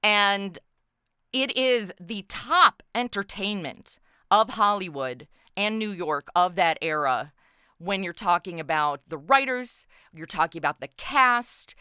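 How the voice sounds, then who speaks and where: A woman's voice: medium pitch, animated, slow, pushed and bright, clean tone, no room sound, clean studio sound. A woman speaking as a podcast guest, enthusiastically explaining her work in a casual interview chat. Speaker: podcast guest, audio drama writer and actor.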